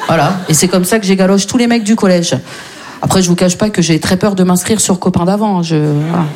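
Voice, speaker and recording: A woman's voice: on the low side, a little fast, a little mellow. Brash slangy woman doing stand-up. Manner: brash, slangy, self-mocking. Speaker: stand-up comedian. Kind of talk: stand-up routine. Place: comedy stage with audience.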